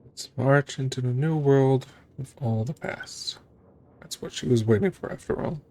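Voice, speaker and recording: A man's voice: medium pitch, moderate pace, a little mellow. A man streaming a video game, reacting casually in short remarks.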